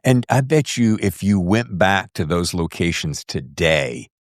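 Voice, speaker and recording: A man's voice: low-pitched, sing-song, measured pace, dry recording, studio quality. A man hosting a casual book interview, musing aloud and rephrasing his questions as he goes. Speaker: podcast host interviewer.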